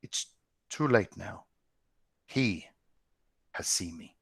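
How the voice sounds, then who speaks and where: A man reading a calm, resigned old rabbi. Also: sits low, creaky, husky, dry recording, studio quality, exaggerated voice. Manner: calm, measured.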